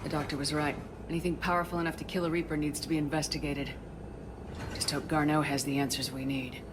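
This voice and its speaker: A woman's voice: low-pitched, level tone, fast talker, noisy background, lightly acted. A woman playing an assertive, businesslike military commander in a scripted video game. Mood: determined. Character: no-nonsense female military commander. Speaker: game protagonist. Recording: loud music bed. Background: loud game music.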